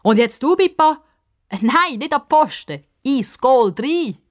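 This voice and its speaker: A woman's voice: high voice, animated, unhurried, pitched above the performer's own voice. A woman voicing a kindly old man, a proud former football star who coaches with self-assurance, in a children's story. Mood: instructing.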